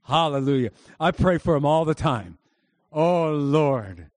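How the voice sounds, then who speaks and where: A male pastor preaching to his congregation with a high, ringing voice. His delivery is emphatic, and he keeps prompting the crowd to respond. The sound is close and dry, with almost no room echo. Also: lively intonation, unhurried. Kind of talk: sermon. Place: church service before a congregation.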